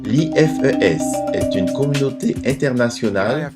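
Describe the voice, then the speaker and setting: A man reading a scripted voice-over narration in a measured, steady way. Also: mid-range voice. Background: music.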